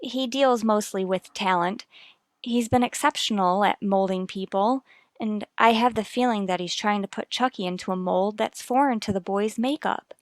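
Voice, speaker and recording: A woman's voice: mid-range voice, normal speed, dry recording, natural voice. Actress as a hesitant, worried mother, close and dry. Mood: anxious, pained. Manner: hesitant, careful, confiding. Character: worried, earnest young mother confiding about her son. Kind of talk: vintage radio soap opera acting.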